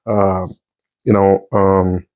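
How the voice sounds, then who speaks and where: A middle-aged man whose first language is Tamil, reviewing books in a casual, halting chat full of restarts and fillers. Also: low voice, little pitch movement. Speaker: book reviewer. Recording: slightly dull lo-fi sound.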